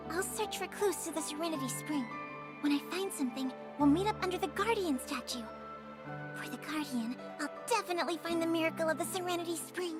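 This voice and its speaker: A woman voicing an earnest, tender young girl in a video game, heartfelt and determined. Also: very high-pitched. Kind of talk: video game dialogue acting.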